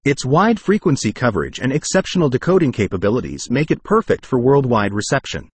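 A man with a husky voice reads a scripted product review in a formal, explanatory way. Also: medium pitch, sing-song, brisk, no room sound. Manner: formal, read-aloud explanatory delivery.

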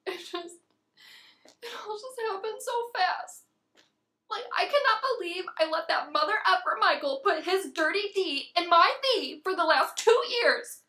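A woman performing a dramatic monologue as a volatile, self-pitying, jilted young woman, swinging between breathy whimpers and loud outbursts. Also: very high voice, heavily acted. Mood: weepy gratitude.

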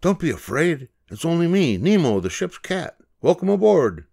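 A man's voice: on the high side, very animated, moderate pace, creaky, darkish tone, pitched above the performer's own voice, full character voice. A man voicing a friendly, helpful male ship's cat in a storybook, speaking politely and reassuringly. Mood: welcoming. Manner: reassuring, politely well-spoken.

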